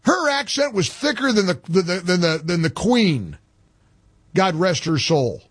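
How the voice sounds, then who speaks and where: A man hosting a talk radio show in a dry studio, his voice slightly husky and rising and falling a great deal. He argues his point hard and repeats phrases for emphasis. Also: sits high, sing-song, unhurried, fairly bright tone, dry recording. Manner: combative, repeats himself for emphasis.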